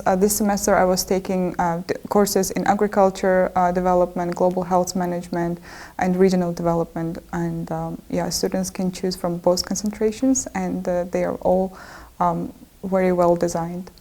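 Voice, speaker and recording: A woman's voice: mid-range voice, dark tone. A woman from Central Asia, a graduate student, describing her courses hesitantly with many fillers. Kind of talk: conversational program overview.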